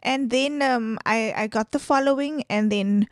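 Brief: woman, 30s, Afrikaans accent, bit high-pitched, candid podcast guest, dry studio sound. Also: measured pace, no room sound. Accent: South African, Afrikaans. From South Africa. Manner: candid, animated, mixes in Afrikaans. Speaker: guest influencer. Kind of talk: casual podcast interview. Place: small studio set with sofa. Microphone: boom mic on stand.

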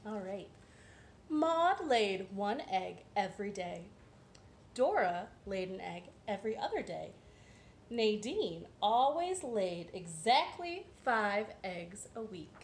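Young female storytime host, expressive and playful, highly varied pitch, recorded at home with some hiss. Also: slow. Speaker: children's librarian storytime host. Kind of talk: children's storytime read-aloud. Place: living room at home. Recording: roomy echo, some background hiss.

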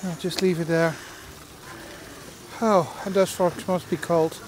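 A vlogger talks in an everyday conversational way at a middling pitch. It is recorded outdoors on a walk, with faint ambient noise behind the words. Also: flat delivery, slow pace, low-quality recording. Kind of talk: casual walking vlog chat. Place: outdoors walking in a snowy theme park.

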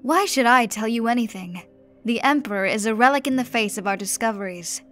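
A furious, mocking vampire duke acted by a woman narrator shouts back at his judges from his chains. His voice is loud and scornful in a storybook reading, over faint music. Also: fairly high pitch, fairly quick, natural voice. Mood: defiant.

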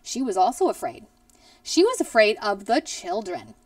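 A woman in her thirties reading a children's story aloud expressively, in a deliberate storyteller's manner. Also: mid-range voice, wildly swooping pitch, dry recording, recorded from a distance. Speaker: teacher reading aloud to pupils. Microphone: headset boom mic.

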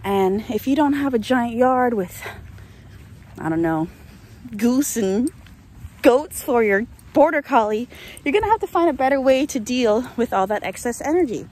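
A woman's voice: on the high side, expressive, moderate pace, a little mellow, slight background noise. A young woman vlogging on a phone at arm's length while walking her dog through a rainy field, chatting and ranting about dog training and laughing often. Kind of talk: walk-and-talk vlog. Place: open grassy field outdoors in rain. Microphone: phone held at arm's length. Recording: faint outdoor rain ambience.

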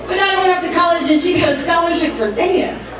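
A woman in her forties performs stand-up with a high, playful, animated voice. She is telling stories on a club stage, heard from far off through heavy echo, with clear audience noise around her. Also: fast. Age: 40s. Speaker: stand-up comedian. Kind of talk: live stand-up comedy routine. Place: comedy club stage, indoors. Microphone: handheld mic, captured by far camera. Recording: distant, echoey club recording with audience noise. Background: audience crowd noise and laughter.

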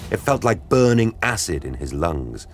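A man narrating an audio drama in a grave, measured storytelling style. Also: low-pitched, sing-song, a little hiss or hum. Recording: studio narration over music. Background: dramatic music.

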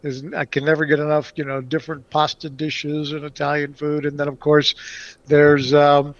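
Guy from puerto rico chatting in an interview, lots of uhs, kinda high voice, dry close sound. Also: completely flat delivery, normal speed, dry recording, dull audio. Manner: rambling, many restarts and fillers. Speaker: guest. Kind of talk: casual interview answers.

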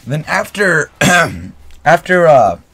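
A man's voice: a little high, big pitch swings, extremely slow, a bit bright, slightly rough. Rambling young man with a cold. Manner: rambling with many fillers and self-corrections. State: getting a cold, clears throat. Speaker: gaming channel host. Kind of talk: casual storytelling over gameplay. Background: faint game gunfire.

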